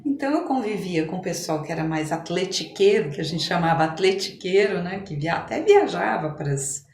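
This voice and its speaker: A woman's voice: on the low side, lively intonation, moderate pace, a little mellow. A middle-aged Brazilian woman chatting casually as an interview guest, reminiscing in a conversational way. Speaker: podcast guest, physician. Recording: roomy echo, clear background noise.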